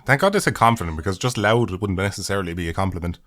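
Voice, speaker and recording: A man's voice: deep voice, lively intonation, fast, a bit bright. A young man, a podcast guest, trading crude casual banter, swearing a lot and laughing at his own jokes.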